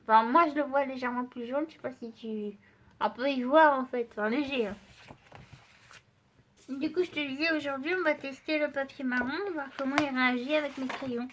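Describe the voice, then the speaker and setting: A woman's voice: sits high, normal speed, fairly bright tone, dull audio. A woman with a stuffy voice from a cold, chatting casually to her viewers as she shows craft supplies and tests paper, with many fillers. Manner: chatty, addresses viewer directly, frequent fillers. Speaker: art channel host.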